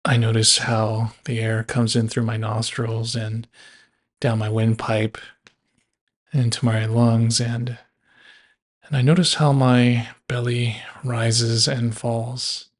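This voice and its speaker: A man leads a guided body scan meditation at a very slow pace. His voice sits at a middle pitch and stays flat and even. He leaves long pauses between short, measured phrases.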